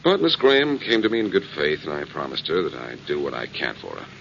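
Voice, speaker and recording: A man's voice: rumbling low, huge pitch swings, fast, creaky, fairly bright tone, exaggerated voice. An actor playing a wry, persistent male amateur sleuth with a glib, needling manner in a 1940s radio drama. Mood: wry, resolute. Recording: noisy muffled 1940s radio recording.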